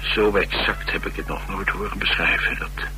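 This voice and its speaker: An actor playing a cynical, battle-hardened adventurer who craves danger, talking persuasively in in a radio drama. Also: male voice, low voice, fairly even intonation, normal speed, noisy background, natural voice. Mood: intense, persuasive. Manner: persuasive, emphatic monologuing.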